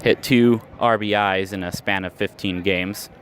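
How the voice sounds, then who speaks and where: Low-voiced man calling baseball play-by-play. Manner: steady, measured call with stats between pitches, rising sharply on big plays. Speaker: play-by-play announcer. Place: outdoor ballpark. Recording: live ballpark recording with clear crowd noise.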